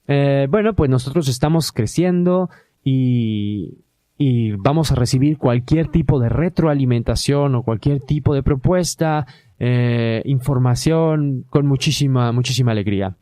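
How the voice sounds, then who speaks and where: A Mexican man hosts a podcast in a relaxed, conversational way, often pausing on "eh" as he gathers his thoughts. His voice is mellow and warm, and it was recorded close and dry with no room sound. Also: mid-range voice, no room sound. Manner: hesitant, many filler "eh" pauses. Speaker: podcast host.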